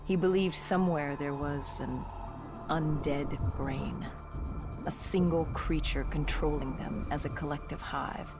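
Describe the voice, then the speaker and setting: A battle-worn cybernetic soldier voiced by an actor narrates a war story for a video game. The delivery is terse and grave, with a creaky, gravelly edge at a medium pitch. Music plays clearly behind the voice. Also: fairly even intonation, unhurried, natural voice. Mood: grave, determined. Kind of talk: scripted dramatic game narration.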